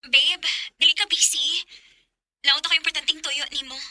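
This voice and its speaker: A woman's voice: high voice, fairly even intonation, normal speed, sharp tone, no echo. A woman playing a chatty, girlish young girlfriend in a Bisaya radio drama, with a Cebuano Filipino accent. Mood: eager. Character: Alice, chatty teasing young girlfriend and best friend.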